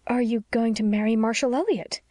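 A woman voicing a polite, earnest young wife in an audiobook story, with a soft and sincere manner. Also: mid-range voice, animated, moderate pace, no room sound, pitched above the performer's own voice, lightly acted.

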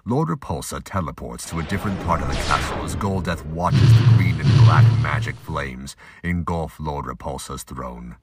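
A man's voice: a bass voice, background noise. A man delivering scripted, emphatic narration for an action audio drama.

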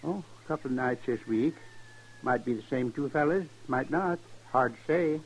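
An actor playing a meek, patient homesteader with a mild, evasive, rustic manner in an old radio western. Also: male voice, mid-range voice, moderate pace, natural voice, poor audio. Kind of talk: 1950s radio western acting.

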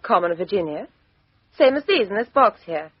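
A muffled old radio recording of a young British woman. She is cool and clipped, with a faint rasp and very lively intonation that turns sardonic. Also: medium pitch, normal speed. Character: cool, independent, sarcastic young English woman. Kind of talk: 1950s radio mystery acting.

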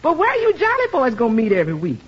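Sassy, high, husky, teasing household woman. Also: wildly swooping pitch, very fast, exaggerated voice. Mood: gleeful warning. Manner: teasing, sardonic, exclamatory. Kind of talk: 1950s radio situation comedy acting. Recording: muffled 1950s radio recording.

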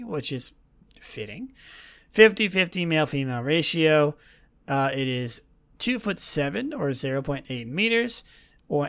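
A man hosting a casual solo podcast in a rambling, self-deprecating way, his voice slightly raspy and gravelly from a long day of talking. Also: on the high side, fairly bright tone. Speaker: podcast host. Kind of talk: casual solo podcast chat about a video game franchise.

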